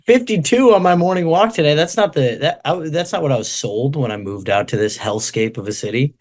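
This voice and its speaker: A man's voice: on the high side, expressive, fairly quick. A man co-hosting a comedy podcast, trading jokes and laughing a lot as he makes fun of himself. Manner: joking, self-deprecating, laughs often. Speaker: podcast co-host. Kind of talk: casual comedic banter.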